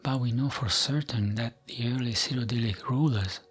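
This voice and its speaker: A man reading game lore as gentle, soothing ASMR narration, soft-spoken and breathy at the edges, pausing often between phrases. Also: medium pitch. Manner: gentle, soothing, measured with frequent pauses. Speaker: narrator of gaming lore videos. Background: background music.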